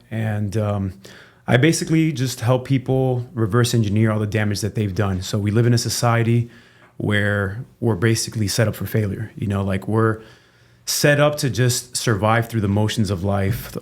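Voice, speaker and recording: Cuban-born guy, lowish voice, chatting loose on a podcast, swears a lot, says "right?" constantly. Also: moderate pace. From Cuba. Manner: relaxed and candid, tags statements with "right?", swears freely. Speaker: chiropractor guest. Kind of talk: casual podcast interview.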